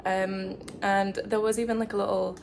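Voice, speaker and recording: Young woman, English accent, casual travel vlog chat, frequent fillers, creaky voice, mid pitch. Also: flat delivery, moderate pace, a little hiss or hum. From England. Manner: chatty, lots of "like" and "um", laughs often. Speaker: travel vlogger. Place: studio flat.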